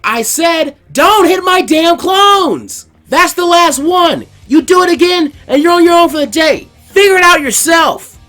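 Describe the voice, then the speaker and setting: A man voicing a brash, excitable teenage ninja boy from an anime story, exclaiming cheekily and with exasperation. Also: very high voice, unhurried, few pauses, faint music behind, pitched above the performer's own voice, full character voice. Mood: exasperated, yelling. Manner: loud exclamations and yelling.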